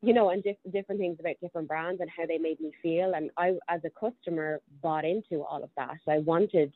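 Low-voiced Irish woman on a tinny line. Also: steady tone, fairly quick. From Ireland. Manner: chatty, thinks aloud with frequent ums. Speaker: guest. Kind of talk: casual interview conversation.

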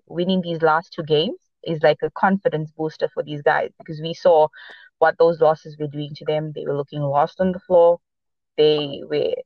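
A woman speaks in a mixed accent shaped by Portuguese, South Africa and America, and Portuguese is her first language. She chats casually on a podcast, halting and restarting with plenty of fillers. The sound is dry and a bit dull, like a lo-fi recording. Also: deep voice, no echo. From mixed upbringing: Boston, Los Angeles and South Africa. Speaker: podcast co-host. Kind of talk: casual sports podcast chat.

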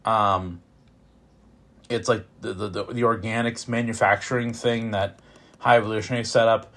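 A man reviewing films in casual, unscripted talk, opinionated and informal, with a halting delivery that repeats words as he goes. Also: on the low side, fairly even intonation, fairly bright tone. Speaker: film reviewer.

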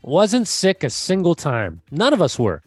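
A man co-hosting a podcast while sick and losing his voice, telling stories in an animated way with fillers. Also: a little high, a little slow, slightly rough, no echo, soft background music. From United States. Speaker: podcast co-host. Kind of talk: casual podcast chat.

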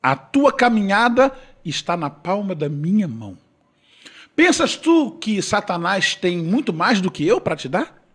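A man voicing God speaking through a missionary, in a grave, measured way. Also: high-pitched, very animated, fairly bright tone, husky, exaggerated voice. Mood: solemn.

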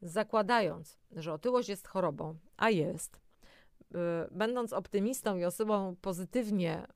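A woman's voice: mid-range voice, a little slow, dry recording, clean studio sound. A woman presenting a self-help talk in an encouraging way, speaking directly to the listener, partly from a script with spontaneous asides.

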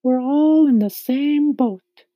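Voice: medium pitch, sing-song, a little slow, warm tone, no echo, soft background music, voice pushed up. A performer voicing a kindly, motherly female ladybug in a storybook, reassuring and gushing.

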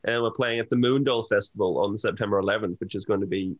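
A man's voice: on the low side, quick pace, dry recording, highs cut off. A man with an Australian accent chatting casually as a band member being interviewed. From Brisbane, Australia. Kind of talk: casual chat.

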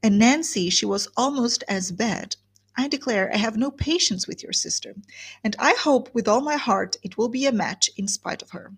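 A woman's voice: fairly high pitch, lively intonation, moderate pace, husky. A woman reading aloud as a gossipy, chattering older woman who breathlessly retells news. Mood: gossiping. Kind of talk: reading a classic novel aloud.

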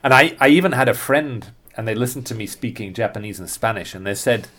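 A man's voice: medium pitch, animated, quick pace, a bit bright. A man chatting casually as a birding guide on a podcast, thinking aloud and often rephrasing himself as he goes.

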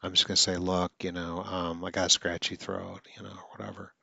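A man's voice: low-pitched, flat delivery, brisk, vocal fry, bright, forceful tone, no echo. A man who stutters now and then, hosting a podcast and coaching listeners in an earnest, instructional monologue.

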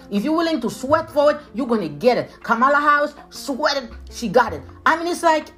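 Emphatic Haitian-accented woman motivational speaker. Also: on the high side. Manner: emphatic, repeats phrases, addresses listeners as leaders. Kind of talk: motivational podcast talk.

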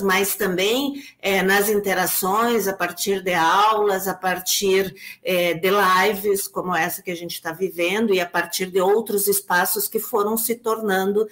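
A Brazilian woman speaking as an academic commentator in an online debate, reflective and analytical, pausing often and checking in with short tag questions. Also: mid-range voice, a bit bright. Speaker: academic commentator on a research paper.

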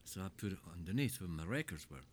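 An older man with a fairly low voice spins loose, rambling tales, swearing freely as he goes. A faint hum of noise sits under the recording. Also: quick pace. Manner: rambling, animated anecdotes with swearing. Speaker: guest telling his life story. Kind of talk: casual storytelling chat.

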